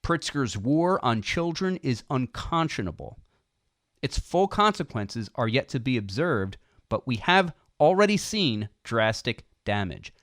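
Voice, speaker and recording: A man reading scripted political nonfiction as an audiobook narrator, with emphatic, deliberate phrasing. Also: mid-range voice, very animated, fairly bright tone, dry recording, clean studio sound.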